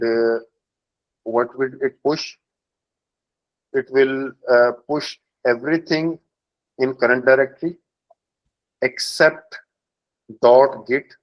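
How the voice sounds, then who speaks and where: Male workshop instructor, halting delivery with frequent fillers, mid-pitched voice, slightly dull call audio. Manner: hesitant, many filler pauses. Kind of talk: technical workshop explanation.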